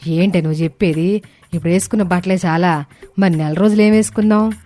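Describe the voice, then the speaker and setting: A woman's voice: fairly low pitch, steady tone, fast, dark tone, lightly acted. A woman voicing an irritable older mother-in-law in a story, grumbling with a scolding, sarcastic manner. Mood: incredulous. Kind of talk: animated moral story dialogue.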